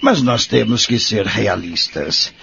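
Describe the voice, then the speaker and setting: A man's voice: fairly low pitch, lively intonation, slow, creaky, exaggerated voice. A man acting a friendly, earnest care-home companion in a radio drama, with a Brazilian accent. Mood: earnest, explaining. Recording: muffled, noisy lo-fi recording.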